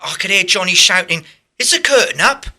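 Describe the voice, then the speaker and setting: A man playing a steady, reassuring young working-class theatre lad in a radio play. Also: high-pitched, fairly quick, heavily acted. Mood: excited. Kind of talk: radio drama acting.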